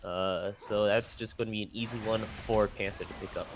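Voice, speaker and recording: A man giving analytic commentary on an esports match, rambling with filler pauses; Vietnamese was his first language. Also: on the low side, fairly even intonation, background noise. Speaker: esports color caster.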